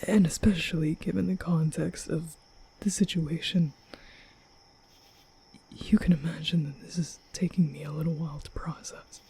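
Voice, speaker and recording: A man playing a nervous, kind-hearted young vampire in an ASMR roleplay, speaking softly and often whispering, with hesitant, flustered stammering. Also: on the high side, fairly even intonation, normal speed, heavily acted. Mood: hesitant, softened. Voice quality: soft, breathy, often whispering.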